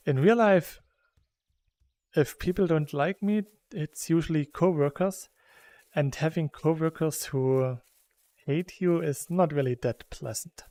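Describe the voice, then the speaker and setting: Slow-talking German man, casual chat. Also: fairly high pitch, mellow, dry recording. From Saxony, Germany. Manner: rambling and chatty, laughs between thoughts. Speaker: gaming YouTuber. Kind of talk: casual chat while playing a game.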